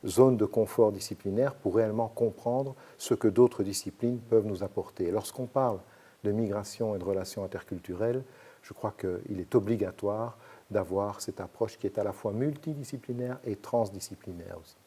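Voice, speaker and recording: A man from Belgium, a sociologist explaining his research in measured, hesitant sentences. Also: lowish voice, mellow, slightly rough. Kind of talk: academic interview answers.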